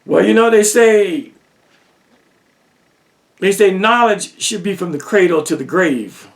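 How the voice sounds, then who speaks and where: A man lectures on religion at a slow, deliberate pace, stacking rhetorical questions and repeating lines for effect. His voice sits high and carries a gravelly edge. He sounds set back from the microphone. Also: animated, distant mic. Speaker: religious teacher. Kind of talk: lecture-style monologue.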